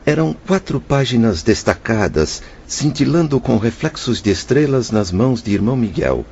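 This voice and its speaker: A man's voice: mid-range voice, expressive, normal speed, gravelly, darkish tone. An actor playing a humble, troubled father spirit who recounts his past in a radio drama, earnest, formal and emotional. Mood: reverent, moved. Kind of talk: Brazilian radio drama narration and dialogue. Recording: dull, faintly noisy radio drama track.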